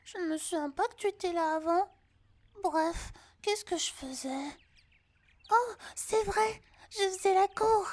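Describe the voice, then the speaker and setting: A harpy girl in an ASMR roleplay with an extremely high, childlike voice. She is vain, flighty and scatterbrained, wandering from one thought to the next. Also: a little slow, a little mellow. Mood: scattered, proud.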